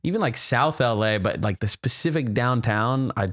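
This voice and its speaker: A man's voice: medium pitch, animated, normal speed, a bit bright, no room sound, studio quality. A man in his twenties, a podcast guest chatting casually and rambling with lots of fillers and restarts. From United States. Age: 20s. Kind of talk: casual chat.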